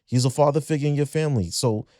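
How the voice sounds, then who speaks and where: A man's voice: medium pitch, fast, dark tone, no echo. A man hosting a podcast in a studio, speaking supportively with hesitant repeated words. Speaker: main podcast host. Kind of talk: casual interview chat.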